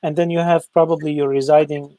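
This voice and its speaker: A male interviewer with a dark, mellow tone, recorded dry and close. He builds up to his questions haltingly, repeating his openings before landing on them. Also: fairly high pitch, level tone, no echo. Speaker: host.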